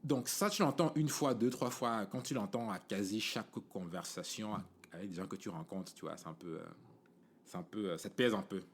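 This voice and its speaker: A man's voice: on the low side, a little fast, breathy edge. A man in his forties from Cameroon with a Cameroonian French accent, hosting a podcast in a casual, rambling monologue full of fillers and "tu vois" asides. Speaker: podcast host.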